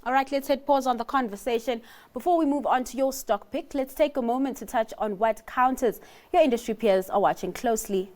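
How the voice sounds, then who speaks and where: Woman, news anchor, higher voice, brisk scripted segues, business TV. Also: a little mellow. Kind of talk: business TV presenting.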